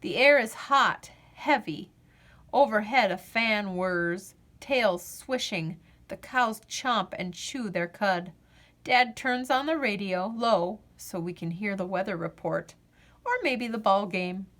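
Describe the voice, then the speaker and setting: A woman reading a children's picture book aloud as a storyteller, with expressive, deliberate phrasing and drawn-out refrains. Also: mid-range voice.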